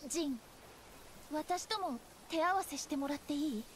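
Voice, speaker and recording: Very high-pitched, sweet girlish heroine. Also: lively intonation, moderate pace, a little hiss or hum. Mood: earnest pleading. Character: sweet, earnest, cheerful young martial-artist girl. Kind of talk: Japanese video game cutscene acting.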